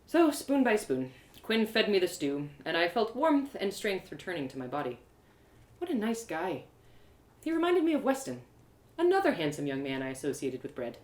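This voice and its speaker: A woman narrating a first-person story live, in an expressive, theatrical storytelling manner. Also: fairly low pitch, moderate pace, reverberant. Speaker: storyteller, audio drama creator.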